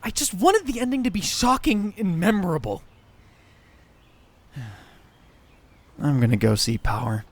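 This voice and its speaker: A man's voice: high voice, animated, unhurried, long pauses, full character voice. A man playing a brash, emotional teenage boy in an anime fan dub, swinging between hesitation and enthusiasm. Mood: dejected.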